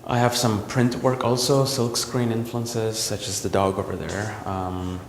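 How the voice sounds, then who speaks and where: A man from El Salvador, a visual artist, talking about his work in a gallery in a reflective, halting way with many ums and restarts. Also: lowish voice, flat delivery, vocal fry, slight background noise. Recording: roomy gallery echo with long reverb.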